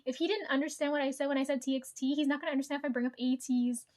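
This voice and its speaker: A woman talks straight to her viewers in a high, bright register, winding through a story in loose casual chat. She swears freely, laughs often and keeps checking in with her audience. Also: steady tone, racing. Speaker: vlogger.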